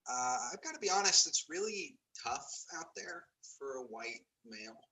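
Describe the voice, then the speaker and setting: Actor as a glib thirties writer, very bright, sharp voice. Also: male voice, medium pitch, big pitch swings, moderate pace, heavily acted, rough recording. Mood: deadpan, rueful. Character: glib, self-pitying male TV writer in his thirties. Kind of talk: comedic script table read.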